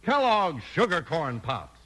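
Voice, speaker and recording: Higher-pitched American man, vintage radio announcer. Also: big pitch swings, a little slow, loud music behind the voice. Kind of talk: 1950s radio announcing, scripted ad and narration.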